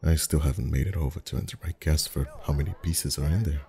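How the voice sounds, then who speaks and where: A man acting as a friendly festival face painter with a very deep, mellow voice. He is soft-spoken and chatty, gently teasing and flirting as he reassures his customer in an intimate ASMR roleplay. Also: quick pace. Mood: warm, chatty. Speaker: voice actor.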